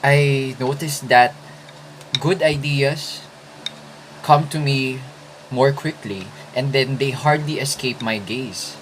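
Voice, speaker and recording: A man in his twenties from the Philippines, whose first language is Filipino, talking through writing tips in a casual vlog with thoughtful pauses and restarts. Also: medium pitch, slight background noise, low-quality recording. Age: 20s. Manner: slow, thoughtful, with hesitant restarts. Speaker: writing vlogger.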